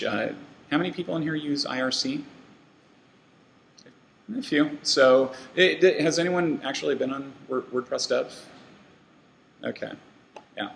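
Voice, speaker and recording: A man's voice: mid-range voice, vocal fry, fairly bright tone, a little hiss or hum, poor audio. A man giving a casual talk to a meetup audience, rambling with lots of fillers and restarts. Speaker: meetup speaker. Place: meeting room with an audience.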